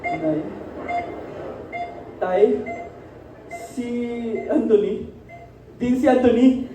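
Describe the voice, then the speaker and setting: Resentful student son, Filipino, radio drama, acted out; unhurried delivery, far from the mic. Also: medium pitch, expressive, noisy background. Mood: frightened. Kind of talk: amateur radio drama acting. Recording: echoey room, distant microphone.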